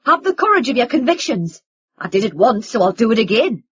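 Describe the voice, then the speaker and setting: Angry young man played by a woman narrator, loud and cutting, in a dull recording. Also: mid-range voice, sing-song, normal speed, slightly rough. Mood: furious. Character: bitter, furious young man accusing his family. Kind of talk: audiobook character reading.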